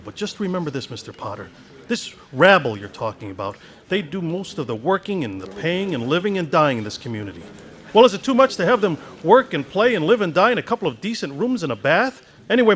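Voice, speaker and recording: A man's voice: on the high side, big pitch swings, fairly quick, fairly bright tone. A restless young man. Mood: indignant. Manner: stammering when shy, heated when angry. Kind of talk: staged radio drama.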